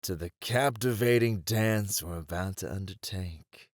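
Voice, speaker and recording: A man's voice: low voice, measured pace, a little hiss or hum. A man playing a cocky, shrewd gang leader in a flirty ASMR roleplay, teasing in a playful, theatrical way. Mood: seductive, toasting.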